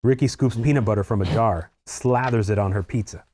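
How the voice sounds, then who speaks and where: A man's voice: lowish voice, brisk, a little mellow. A man narrating the stage directions of a screenplay as a scripted read.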